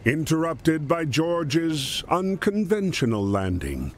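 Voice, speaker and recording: A man narrating a wartime history game in a solemn, measured, dramatic storytelling style. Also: on the high side, very animated, slight background noise. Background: music.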